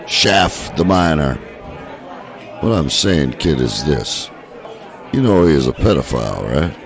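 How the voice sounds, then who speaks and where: Crude, taunting menace for horror audio drama: very deep, gravelly drawl, crowd noise behind. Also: male voice, lively intonation, takes it slowly, pauses often, full character voice. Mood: taunting, menacing. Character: crude, menacing low-life man warning a kid. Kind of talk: horror radio drama acting.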